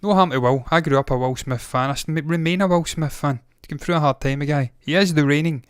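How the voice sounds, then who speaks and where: A man's voice: on the high side, fast talker, clean tone, dry recording, studio quality. A man in his thirties hosting a movie news show, talking casually, with rambling opinionated asides. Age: 30s.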